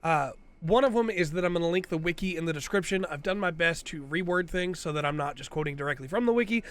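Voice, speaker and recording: A man's voice: fairly high pitch, expressive, fast, a bit bright. Rambling man with a cold. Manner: rambling, tags lines with "right?". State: has a cold. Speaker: video host. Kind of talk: casual commentary video.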